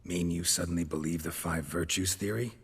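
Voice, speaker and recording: An actor playing a gruff, weary swordsman who hunts monsters in a video game, speaking in terse, deadpan sentences. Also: low voice, fairly quick, exaggerated voice. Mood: deadpan, matter-of-fact.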